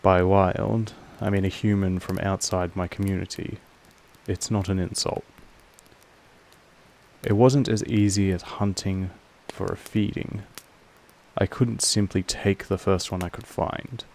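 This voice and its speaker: A man's voice: sits low, steady tone, a little slow, creaky, darkish tone, slight background noise, poor audio. A man playing a possessive, aloof vampire master in an audio drama, speaking formally and condescendingly in an intimate monologue to the listener. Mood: firm, teasing. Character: possessive, aloof male vampire master, patronizing yet fond. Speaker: voice actor in a roleplay audio drama.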